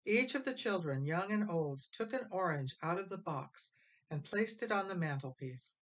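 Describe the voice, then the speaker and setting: A woman narrates a children's story in a lowish voice. Her scripted reading is expressive and dramatic, lingering on important phrases for emphasis. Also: normal speed. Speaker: storybook reader.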